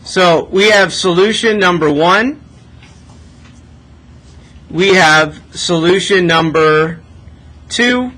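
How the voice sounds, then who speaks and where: A man with a rather high, bright voice works as a math teacher, tutoring step by step. He keeps it conversational, putting short checking questions to the learner and often tagging on a "right?". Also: takes it slowly, pauses often, rough recording.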